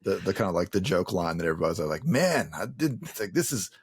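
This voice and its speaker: A man's voice: low voice, sing-song, fast talker, breathy edge, no room sound, studio quality. A man hosting a casual interview, rambling and repeating words as he works out a thought. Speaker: interview host.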